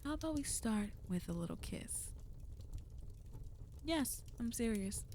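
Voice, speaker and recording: A woman's voice: fairly high pitch, lively intonation, unhurried, mellow, slight background noise. A woman playing a flirty, possessive villain in an ASMR roleplay, speaking intimately to the listener in a teasing, commanding way. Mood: flirty, coaxing.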